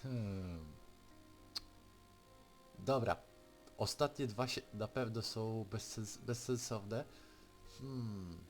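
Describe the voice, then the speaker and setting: Slow-paced Polish man commenting on a game. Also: fairly low pitch. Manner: musing, thinks aloud. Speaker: gaming video host. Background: faint game music.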